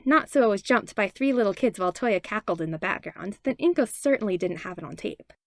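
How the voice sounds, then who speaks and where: A woman narrates a piece of fiction from a script. Her voice sits at an average female pitch, and the recording is close and dry, with hardly any room sound. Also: no room sound. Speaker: podfic reader.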